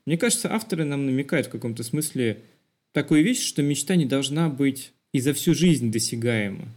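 A man's voice: mid-range voice, animated, a little fast, darkish tone. A man co-hosting a podcast, explaining his reflections on a film at length. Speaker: podcast host.

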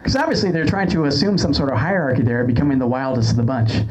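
A man's voice: mid-range voice, quick pace. An American man hosting a podcast, bantering wryly in casual comedic chat. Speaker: podcast host. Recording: muffled audio with background noise.

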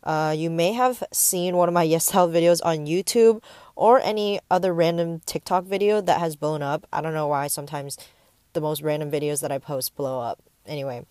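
A nineteen-year-old woman from the Bay Area chats casually, drifting between thoughts with plenty of "um" and "like." Her voice sits a little low for a young woman. It comes through earbud mics in a parked car, close and dry with no room sound. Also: normal speed, a little mellow, clean tone, no room sound. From San Francisco Bay Area. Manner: rambling, easily distracted, frequent fillers. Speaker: podcast host. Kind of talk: casual solo podcast chat. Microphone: wired earbuds mic into a tablet.

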